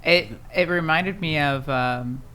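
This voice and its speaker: A woman's voice: low-pitched, takes it slowly, a bit bright, slight background noise. A woman co-hosting a podcast, chatting casually and informally in group commentary. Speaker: podcast co-host. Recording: music playing behind. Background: music.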